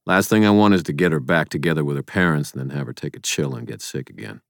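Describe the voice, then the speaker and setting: A man voicing a tired, kindly grown man who mutters low and weary. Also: animated, quick pace, vocal fry, no echo, clean studio sound, heavily acted.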